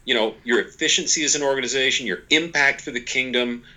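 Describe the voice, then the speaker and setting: A man's voice: medium pitch, quick pace, sharp tone, poor audio. A man in his forties speaking as a podcast guest, explaining ideas conversationally in a folksy, emphatic way with repetition and quoted asides. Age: 40s. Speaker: podcast guest, author and organization leader.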